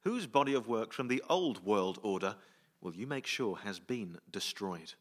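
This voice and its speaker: A man hosting a comic radio show before a live theatre audience, brisk and bemused, often laughing. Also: fairly low pitch, expressive. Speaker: host.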